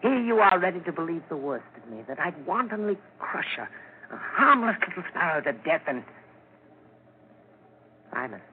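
High-voiced, bitter, sinister radio villain. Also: male voice, big pitch swings, normal speed, long pauses. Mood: coolly menacing. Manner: theatrical, mocking. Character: bitter, sinister, sly man, once blind, hating everyone. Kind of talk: 1940s radio acting. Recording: scratchy, tinny 1940s radio recording.

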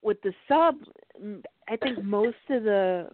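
A woman in casual conversation, speaking haltingly and often restarting or repeating her phrases. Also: mid-range voice, lively intonation, slight background noise. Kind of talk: casual chat. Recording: thin phone-like audio.

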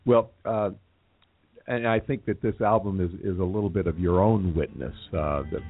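A man hosting a casual radio interview, talking in a halting, friendly way with frequent fillers and restarts. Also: sits low. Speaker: radio show host. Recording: tinny phone-like line.

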